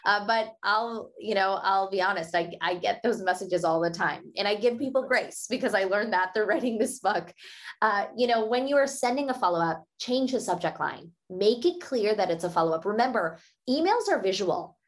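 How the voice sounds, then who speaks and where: A woman, an author being interviewed, giving upbeat conversational advice in short brisk points and laughing often as she explains. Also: mid-range voice, animated.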